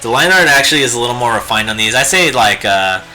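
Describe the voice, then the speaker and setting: A hoarse, raspy man chatting casually and rambling with lots of fillers while he draws on a live stream. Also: mid-range voice, expressive, fast, pushed and bright, low-quality recording. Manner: laid-back, rambling, many fillers. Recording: music playing behind the voice. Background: guitar music.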